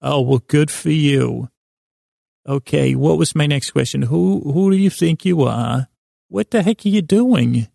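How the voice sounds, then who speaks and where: A man's voice: a little high, unhurried, vocal fry, warm tone, dry recording, clean studio sound, full character voice. A man voicing a fussy, indignant elderly grandmother who nags and scolds in melodramatic questions. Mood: sarcastic, nagging. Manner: nagging, rhetorical questioning, melodramatic.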